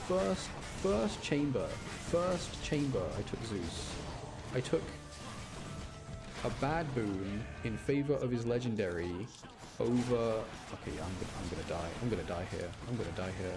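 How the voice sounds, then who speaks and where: A man giving casual, rambling live commentary on a game, chatting to his stream and often interrupting himself. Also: medium pitch. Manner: rambling, self-interrupting, mild grumbling. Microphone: close streaming microphone. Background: game music.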